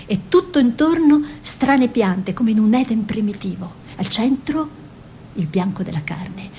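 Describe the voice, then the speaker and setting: A woman's voice: on the high side, a little mellow. Dreamy peasant-born woman painter, noisy recording. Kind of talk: theatrical radio drama monologue and dialogue.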